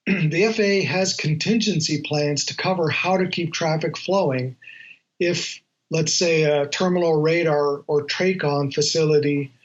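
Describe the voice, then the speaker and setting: A man, an aviation journalist, giving measured explanatory answers in an interview, on a slightly lo-fi recording. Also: fairly high pitch.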